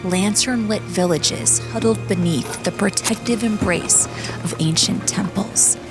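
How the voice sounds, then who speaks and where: A woman's voice: mid-range voice, unhurried, music in the background. A woman narrating an audiobook in a solemn, poetic, dramatic style.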